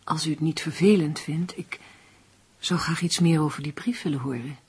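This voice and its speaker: An actress in a radio play, playing a woman who questions and narrates a suspense story in a measured, hushed way. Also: low voice, slightly rough, dry recording. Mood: hushed shock.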